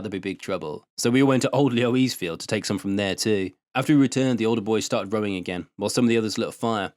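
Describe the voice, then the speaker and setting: A man narrating a first-person short story in an expressive, conversational way. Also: fairly low pitch, no room sound, studio quality. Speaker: narrator and translator.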